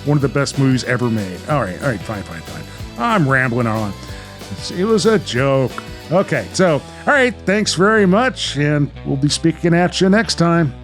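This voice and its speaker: A man's voice: fairly high pitch, lively intonation, moderate pace, music in the background. Rambling, jokey male podcast host. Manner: jokey, self-aware. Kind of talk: casual, rambling podcast chat.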